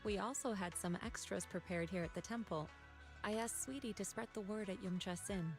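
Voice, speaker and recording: Calm young woman for a video game, acted by a woman, helpful and even-tempered, middle register. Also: medium pitch, normal speed, faint music behind, lightly acted.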